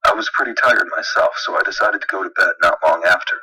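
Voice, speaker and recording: A man's voice: deep voice, fast, pushed and bright, breathy edge, far from the mic, poor audio. A man reading a horror story as a dramatic narrator. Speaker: creepypasta narrator. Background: music.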